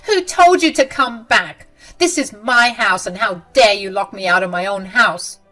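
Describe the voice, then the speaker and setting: A woman voicing a shrill, accusing mother-in-law. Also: normal speed, fairly bright tone, soft background music, close to an everyday voice. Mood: outraged. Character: angry mother-in-law, older woman, self-pitying and spiteful.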